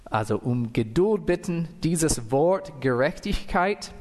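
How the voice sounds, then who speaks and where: A man's voice: a little high, expressive, darkish tone, faint music behind. An American man with English as his first tongue preaches in a church hall, taking his time over every phrase. His voice carries a long reverb from the room, and he stops now and then to correct himself. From United States. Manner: careful, deliberate, with fillers and self-corrections. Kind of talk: sermon with scripture reading.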